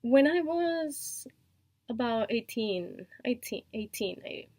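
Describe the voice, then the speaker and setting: Colombian-accented woman vlogger, slow and unhurried, dry close sound, hesitant with fillers and little laughs. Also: a little high, animated, mellow, no room sound. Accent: Colombian Spanish. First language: Spanish. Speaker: vlogger and online shop owner. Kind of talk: casual personal vlog.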